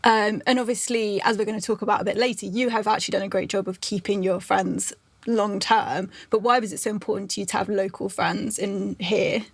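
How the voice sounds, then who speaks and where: A young woman hosting a chat podcast, speaking warmly with frequent "um"s. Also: mid-range voice, fairly even intonation, a little fast, gravelly, slightly rough, no room sound. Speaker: podcast host. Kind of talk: casual chat.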